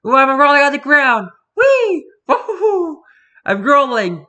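Squeaky high cartoon italian plumber, bright punchy voice, italian accent, flustered n stumbling. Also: male voice, very animated, muffled recording, exaggerated voice. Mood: gleeful. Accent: put-on Italian. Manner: halting, stumbling over words.

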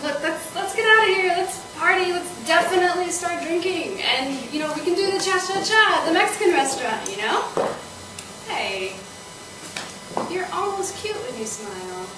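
A woman performing a comedic stage monologue as an anxious, volatile first date, halting and stammering. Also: a little high, very animated. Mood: flustered. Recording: noisy, echoey stage, distant mic.

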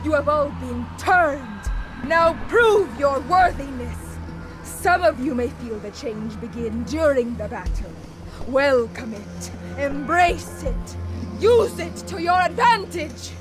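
Vampire villainess, video game, fanatical, high female voice, measured oratory, loud music. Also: expressive, low-quality recording. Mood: commanding, zealous. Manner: grandiose, commanding oratory. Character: fanatical vampire villainess commanding her army.

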